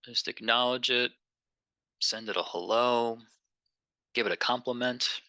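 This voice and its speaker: A man leading a guided meditation in a gentle, unhurried, conversational way, pausing between phrases. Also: medium pitch, no echo.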